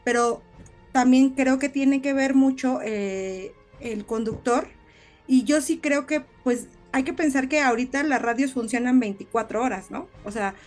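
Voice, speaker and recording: A woman's voice: sits high, a little slow, darkish tone, soft background music. A woman co-hosting a casual podcast, talking reflectively and often checking in with short tag questions. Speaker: podcast co-host.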